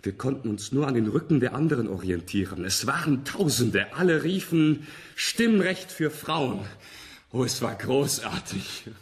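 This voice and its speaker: A man in a German radio play playing a young test subject who relives memories in a trance, halting and wondering. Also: mid-range voice, animated, slightly rough. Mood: excited, reminiscing. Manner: halting, searching, wondering delivery.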